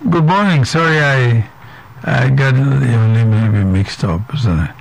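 A man's voice: mid-range voice, normal speed, gravelly, slight background noise, low-quality recording. A male radio host from Nigeria, reading news and presenting a health segment in a chatty manner with fillers and restarts.

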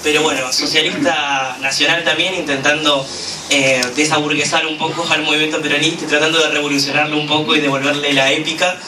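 A man with an Argentine accent giving an impassioned political speech at a gathering, full of "eh" pauses. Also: on the high side, little pitch movement. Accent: Argentine Spanish. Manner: fervent, with frequent "eh" fillers. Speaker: activist speaker at a political gathering. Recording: echoey room, from a distance, noisy.

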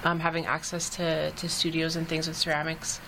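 Young female guest, low and flat voice, hesitant and filler-heavy. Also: brisk, gravelly, a little hiss or hum, poor audio. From Canada. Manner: hesitant, with many ums. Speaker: artist guest. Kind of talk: casual interview answers.

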